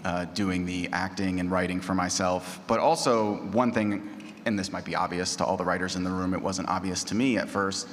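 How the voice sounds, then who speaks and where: Deep voiced guy on a panel, candid and self-deprecating, echoey room. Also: a bit bright. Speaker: panelist, audio drama writer and actor. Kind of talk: candid unscripted panel talk. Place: large panel room at a convention. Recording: echoey hall, noisy, roomy pickup.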